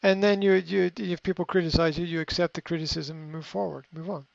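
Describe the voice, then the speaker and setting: A man giving unscripted coaching advice in a reflective way, often pausing with fillers and restarting his sentences. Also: fairly high pitch, fairly even intonation, gravelly, no echo. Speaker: coach answering a question. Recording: dry, slightly muffled recording.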